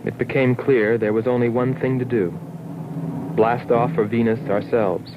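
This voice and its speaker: An actor playing a grave astronaut, narrating a 1960s science fiction film in a measured, reflective way. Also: male voice, mid-range voice, steady tone, heavy background noise, natural voice. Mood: grave, resolute. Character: grave male astronaut recounting a space rescue mission. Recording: dull old film soundtrack, loud music.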